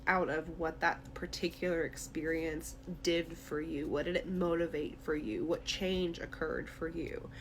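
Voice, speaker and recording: A woman's voice: low-pitched, fairly even intonation, unhurried, hardly any pauses, a little hiss or hum, rough recording. A woman tarot reader speaking directly to the listener in a conversational, reassuring, therapy-minded way during an intuitive reading.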